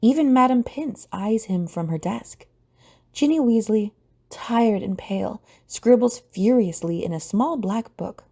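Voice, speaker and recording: A woman reading scripted story narration for an audio drama in a mellow, husky voice. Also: fairly high pitch, expressive, measured pace.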